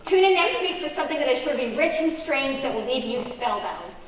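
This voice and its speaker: A woman's voice: high-pitched, lively intonation, fairly quick, slight background noise. A woman hosting a radio play with scripted, theatrical narration. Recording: very echoey hall from far off. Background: music.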